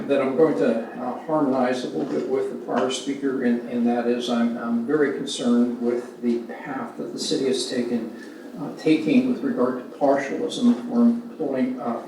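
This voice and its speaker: Older guy reading budget numbers at a council podium, gravelly, lots of uhs, echoey far-off room. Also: mid-range voice, steady tone, dark tone. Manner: halting, many uh fillers, reads figures. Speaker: citizen speaking at public hearing. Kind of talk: public comment at council meeting. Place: city council chamber, large room. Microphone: podium mic from far camera. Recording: echoey hall, distant, noisy.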